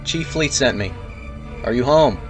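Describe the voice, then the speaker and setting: A male actor as a grumbling small-town deputy in a radio drama. He is low and slow, heard at a distance beneath loud music. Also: lively intonation, natural voice. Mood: grumbling, uneasy.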